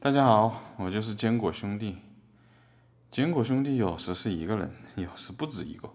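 Man as rambling drifter artist, lowish voice, deadpan, self-mocking, casual courtroom radio play. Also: normal speed, natural voice. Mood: casual, matter-of-fact. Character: rambling, wry male drifter artist as defendant. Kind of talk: casual scripted monologue in audio drama.

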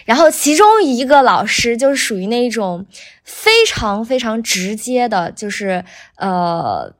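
Chatty female interview guest. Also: on the high side. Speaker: guest, professional voice actor. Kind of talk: casual interview chat, recounting a career.

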